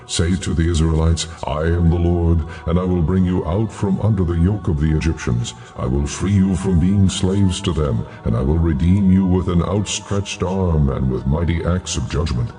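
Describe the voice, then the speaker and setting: A very deep, solemn divine male voice, played by an actor, declaring and commanding with stately authority in a scripture audio drama. Music plays clearly behind the words. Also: moderate pace, hardly any pauses, mellow, lightly acted. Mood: solemn promise.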